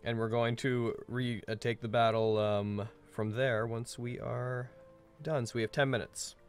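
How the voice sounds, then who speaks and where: A man narrating a tabletop game as game master, halting and conversational, with dramatic descriptions. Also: medium pitch, little pitch movement, smooth, faint music behind.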